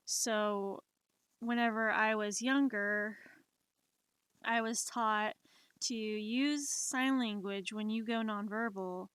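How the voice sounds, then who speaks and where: A young adult woman chatting casually while she draws on a live stream, unhurried and rambling, with many fillers and restarts. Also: mid-range voice, fairly bright tone, smooth, dry recording. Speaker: livestreamer.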